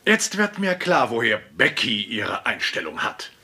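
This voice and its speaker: An actor in a German sitcom dub playing a stern, condescending school principal who lectures in a formal, pompous manner. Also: male voice, mid-range voice, very animated, a little slow, very noisy.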